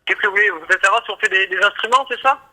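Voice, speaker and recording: A male shop assistant heard down a phone line, with a high, very sharp voice. He talks quickly, in a polite customer-service manner. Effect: telephone. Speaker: toy shop sales assistant. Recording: voice heard over a telephone line.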